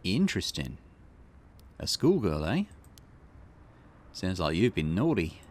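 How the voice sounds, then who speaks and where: A man acts out a wry game detective in a low voice that swings expressively. He is dry and skeptical, a plain talker who likes to question. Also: big pitch swings, slow pace, darkish tone, full character voice. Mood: wry, probing. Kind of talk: character voice reading visual novel dialogue.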